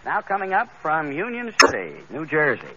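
A man's voice: a little high, wildly swooping pitch, moderate pace, noisy background. A man hosting an amateur talent segment on a 1930s radio variety show, genial and sometimes fumbling for words. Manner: genial, hesitant ad-libbing. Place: theater stage before an audience. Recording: dull old radio recording with live crowd.

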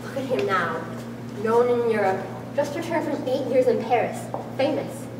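A woman's voice: fairly high pitch, expressive, moderate pace, close to an everyday voice. A young woman in an amateur stage play, playing a wife's raw, passionate, bitter inner self with emphatic, agitated delivery. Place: large school hall with small audience. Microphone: far camera. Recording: echoey hall, distant camera, loud room noise.

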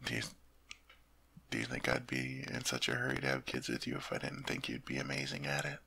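A man voicing a doting young king in an audio roleplay, his voice gravelly and husky with a bright, tense edge. He stammers and repeats himself when flustered, then softens into gentle whispers. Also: very deep voice, little pitch movement, brisk, exaggerated voice. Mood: tender whisper. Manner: soft tender whispering. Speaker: ASMR roleplay performer.

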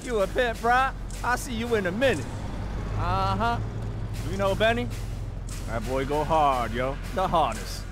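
A man's voice: high-pitched, lively intonation, a little slow, over music. An actor playing a cocky, exuberant young American street racer in a video game, slangy and drawn out in his emphasis. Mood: friendly hype. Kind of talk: video game cutscene acting.

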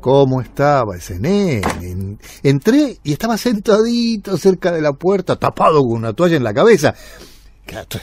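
An actor playing a kindly, gossipy building caretaker with an Argentine accent, telling stories colloquially in a radio drama. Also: male voice, a little high, moderate pace, a little hiss or hum. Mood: chatty, puzzled. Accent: Argentine Rioplatense. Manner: colloquial, animated storytelling.

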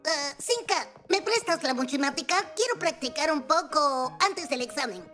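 An actor voicing an earnest young boy, a tiny cartoon creature, with an expressive delivery in an animated dub. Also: high-pitched, moderate pace, music in the background. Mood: glum, unsure.

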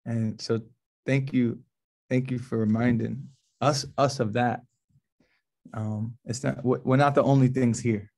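An American man in his thirties hosting a poetry reading in a casual, colloquial way, often halting and repeating words. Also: medium pitch, steady tone, gravelly, a little mellow. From New Orleans, USA. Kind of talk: casual, informal event hosting. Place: small office, indoors. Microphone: laptop mic on a video call.

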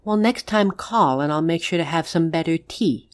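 A woman acts out a witch who is a pushy, caring friend. She has a moderately low female voice and a measured, frank delivery edged with exasperation, in the manner of a dramatic audio roleplay. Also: lightly acted. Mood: concerned.

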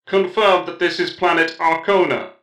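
A man's voice: on the high side, moderate pace, fairly bright tone, reverberant, pitched above the performer's own voice, close to an everyday voice. A man voicing an aloof, precise cyborg tech-priest who speaks in formal, pedantic recitals. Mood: clinical.

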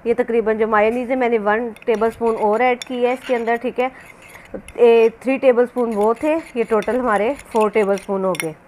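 A woman's voice: on the high side, steady tone, moderate pace, a little mellow. A woman narrating a recipe casually as a home cooking host, instructional and often repeating herself. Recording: noisy amateur home recording.

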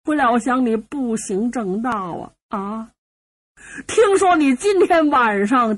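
A woman storyteller voicing an old father who wails and sobs a drawn-out lament for his doomed son. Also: sits high, a bit bright, heavily acted. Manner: drawn-out sobbing lament with stretched cries. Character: wailing old father mourning his doomed son, mocking ghostly mourner.

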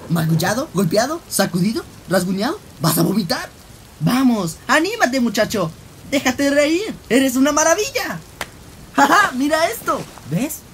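A man's voice: very high voice, very animated, measured pace, a bit bright, slight background noise, full character voice. A man voicing a manic young gangster cat in a cartoon, florid, theatrical and gleefully wordy. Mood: excited. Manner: theatrical, florid, mock-philosophical. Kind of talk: animated fan comic dub. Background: rain.

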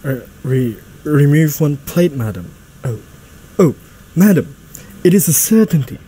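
An actor playing a deferential, slightly anxious butler in a radio drama, polite and hesitant. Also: male voice, on the high side, sing-song, a little slow, mellow, husky, heavily acted, low-quality recording.

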